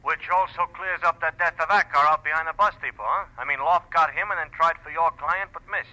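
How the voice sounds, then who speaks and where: A brisk American police detective from a vintage radio drama. His voice is strikingly sharp and forceful, fairly high, with a dry, questioning manner. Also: poor audio. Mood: skeptical. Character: brisk, sardonic male police homicide lieutenant. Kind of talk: old-time radio drama acting.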